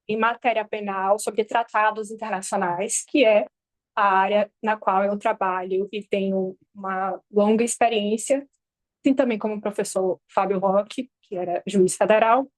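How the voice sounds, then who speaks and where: A Brazilian woman, a lawyer and native Portuguese speaker, giving a halting, measured academic talk at a panel table microphone. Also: mid-range voice, steady tone, dry recording. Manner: measured, halting, many pauses. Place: university event hall.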